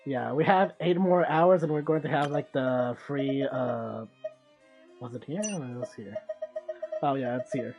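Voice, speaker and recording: A man's voice: medium pitch, fairly even intonation, measured pace, lo-fi sound, music in the background. A man streaming a video game, giving casual, rambling commentary as he thinks aloud. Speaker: gaming streamer.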